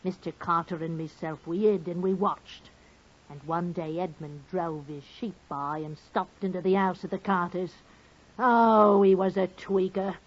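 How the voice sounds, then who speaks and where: A low-voiced, unhurried country wife from an old radio play, folksy and gossipy. She is dramatic and sweetly indignant, heard through a muffled vintage recording. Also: fairly even intonation. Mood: scornful, gossipy. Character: talkative rustic English wife, wronged, sweetly indignant. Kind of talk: 1950s radio drama acting.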